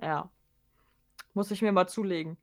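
A woman co-hosting a casual podcast, answering in a relaxed, giggly way. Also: on the low side, normal speed. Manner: relaxed, giggly, short replies. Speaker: podcast co-host. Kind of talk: casual chat.

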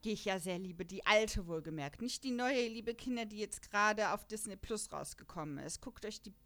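A woman chatting casually as a podcast co-host, animated and rambling in manner. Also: medium pitch, moderate pace. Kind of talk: casual chat.

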